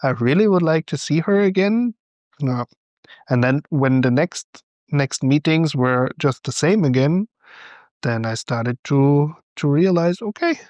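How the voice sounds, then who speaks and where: German man, slightly high, thoughtful. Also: animated, unhurried, darkish tone, no echo. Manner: thoughtful, hesitant, laughs often. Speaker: podcast co-host. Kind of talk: casual chat.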